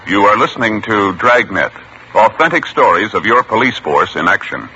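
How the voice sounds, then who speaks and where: A man's voice: fairly low pitch, expressive, normal speed, over music. A man giving formal, emphatic announcements on a 1950s radio show. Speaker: program announcer. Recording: dull old radio broadcast with hiss.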